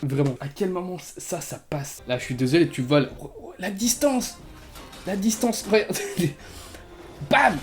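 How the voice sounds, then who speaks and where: A young man giving a comedy reaction commentary, excitable and laughing, full of street slang. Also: high-pitched, measured pace, soft background music. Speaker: YouTuber.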